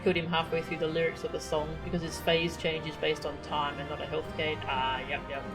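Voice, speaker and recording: A woman's voice: low-pitched, level tone, fairly quick. A woman game streamer giving casual live commentary as she plays. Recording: clear game music behind.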